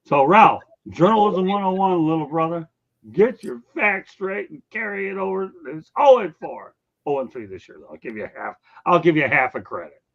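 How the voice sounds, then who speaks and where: A man's voice: on the high side, sing-song, moderate pace, highs cut off. A man hosting a casual sports talk show, bluntly teasing and ranting at his co-hosts and viewers. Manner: blunt, teasing, addresses chat viewers by name.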